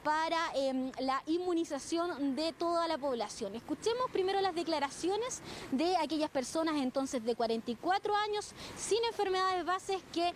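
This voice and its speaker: A Chilean woman with a very high voice reports live on location, steady and a little hesitant now and then. Clear background noise surrounds her on the outdoor feed. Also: moderate pace. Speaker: field reporter.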